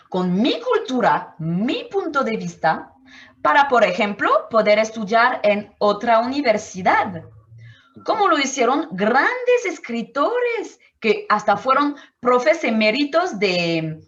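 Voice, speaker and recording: Martinican woman, French native, interview guest, reflective, searching for words, dull lo-fi sound. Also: a little high. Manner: thoughtful, searching for words, frequent restarts. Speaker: anthropologist guest.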